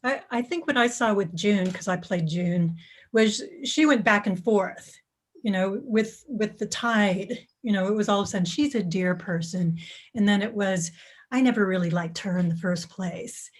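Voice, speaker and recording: Halting woman with a mid-pitched voice. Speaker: actor from a staged reading, discussion participant. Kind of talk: casual reflective discussion. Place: online video call. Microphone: video call.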